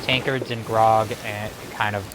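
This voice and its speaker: A man co-hosting a game stream, chatting and explaining in a casual, somewhat halting way. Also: on the low side, level tone, background noise. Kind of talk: casual game commentary and chat.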